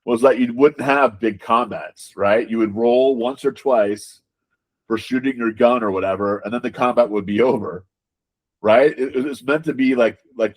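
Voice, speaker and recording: A man being interviewed as a game designer, talking casually and haltingly, often repeating words as he explains. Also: mid-range voice.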